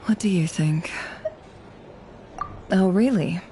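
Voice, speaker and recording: A woman voicing a guarded, bitter young spy who speaks in a cool, measured, brooding way in video game dialogue. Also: on the low side, mellow, slight background noise.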